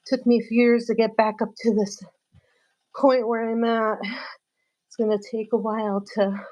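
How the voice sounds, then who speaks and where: A woman's voice: fairly high pitch, little pitch movement, normal speed. A woman whose first language is Spanish chats casually with viewers during a live-streamed workout, laughing often and using many fillers. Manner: greets viewers warmly by name. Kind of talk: casual live stream chat while exercising.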